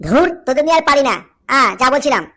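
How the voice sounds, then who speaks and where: A jealous royal minister from a comic cartoon, acted out by a woman. The voice is high and bright. He talks fast and bossily, mocking everyone beneath him as he schemes for power. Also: lively intonation. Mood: scheming, impatient. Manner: bossy, scornful, mocking.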